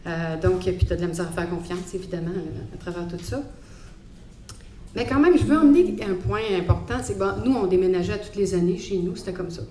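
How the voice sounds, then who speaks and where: A woman's voice: fairly low pitch, lively intonation, a little fast, dark tone. Hesitant Quebec French woman in echoey hall. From Montreal, Quebec. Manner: hesitant, reflective, many fillers. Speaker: guest speaker giving a faith testimony. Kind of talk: personal testimony talk. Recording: echoey hall, faint background noise.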